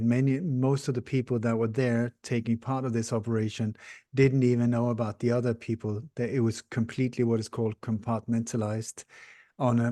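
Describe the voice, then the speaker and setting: A man in his sixties, born in Denmark and raised in Sweden, speaking English in a podcast interview, explaining things earnestly and steadily with frequent pauses. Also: medium pitch, warm tone, dry recording, studio quality. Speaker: interview guest, researcher.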